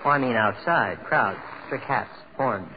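A male actor plays a genial, curious fellow in a vintage radio play. He speaks at a middle pitch in a conversational, gently wry manner, through a dull recording with plenty of noise. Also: expressive, a little slow, lightly acted. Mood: casual. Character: genial, inquisitive man out on New Year's Eve. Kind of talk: 1940s radio drama acting. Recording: muffled, noisy old radio recording.

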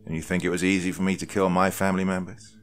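Man acting a wry young man, deep voice, embittered, terse, horror audiobook hero. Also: lively intonation, normal speed, fairly bright tone, a little hiss or hum. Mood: cold, stern.

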